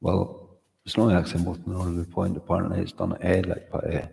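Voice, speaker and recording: A man from the north of Scotland with a Highland Scottish accent, chatting casually with livestream viewers in a rambling way full of "uh" fillers. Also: monotone, fairly quick, vocal fry, warm tone, long reverb tail, faint music behind. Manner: rambling, lots of "uh" fillers, friendly asides. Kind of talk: casual chat with live viewers.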